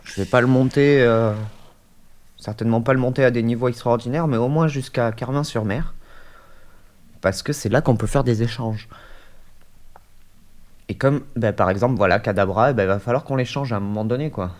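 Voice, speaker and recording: A man's voice: mid-range voice, moderate pace, long pauses. A man with a head cold, chatting casually through a gaming session at his desk mic, hesitant and full of fillers. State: stuffy, has a cold. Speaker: gaming YouTuber. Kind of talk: casual let's-play commentary.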